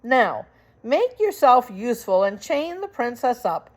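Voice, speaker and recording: Lady doing a snooty villain rat from a kids book, bossy and scornful, pitch jumps around. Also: very animated, measured pace, darkish tone. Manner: pompous, formal, sneering speech. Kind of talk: children's storybook read aloud.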